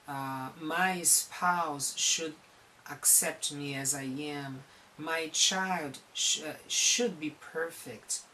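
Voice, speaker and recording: A counselor from Brazil speaking with a Brazilian accent, giving a recorded talk on psychology in an earnest, halting way and often restarting his phrases. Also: on the high side, sharp tone, slight background noise.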